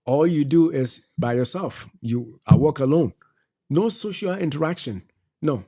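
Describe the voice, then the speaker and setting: A middle-aged man cohosting a talk show, his voice mellow and dark in tone. He preaches at his listeners, hammering home each point by saying it again. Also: a little high, lively intonation, a little slow. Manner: preachy, exhorting, repeats key phrases. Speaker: co-host. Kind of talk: faith-based advice talk.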